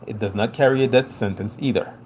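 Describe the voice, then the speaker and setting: A man's voice: mid-range voice, fast talker, background noise. A man whose first language is French, hosting a casual livestream and talking loosely to his chat, full of "you know" fillers. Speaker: livestream host.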